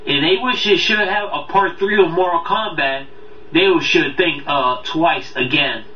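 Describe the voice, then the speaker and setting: A man hosting a casual countdown review, talking off the cuff in a rambling, halting way that often loses its thread. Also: fairly high pitch. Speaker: video countdown host and reviewer. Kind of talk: casual unscripted review talk to viewers. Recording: roomy, distant, dull mic, faint noise.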